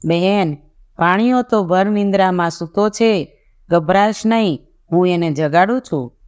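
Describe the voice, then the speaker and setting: Saintly, caring royal brother, smooth-voiced, as a narrator reads him. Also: medium pitch, a little slow, lightly acted. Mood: reassuring.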